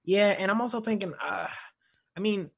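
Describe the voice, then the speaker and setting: A man's voice: sits high, normal speed, breathy edge. A man co-hosting a podcast, talking informally and hesitantly as he thinks aloud. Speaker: podcast co-host. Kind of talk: casual conversational chat.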